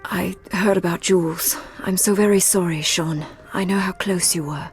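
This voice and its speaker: A woman's voice: on the low side, fairly even intonation, moderate pace, soft background music, lightly acted. A woman playing a cool, elegant British spy in a video game, with a poised, sly, understated manner. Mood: sympathetic. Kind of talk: dramatic video game acting.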